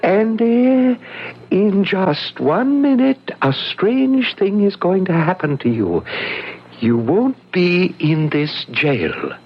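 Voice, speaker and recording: An actor playing a mysterious, patient guardian with a deliberate manner in an old-time radio drama. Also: male voice, sits high, big pitch swings, heavily acted. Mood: mysterious, calm. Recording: dull vintage radio recording.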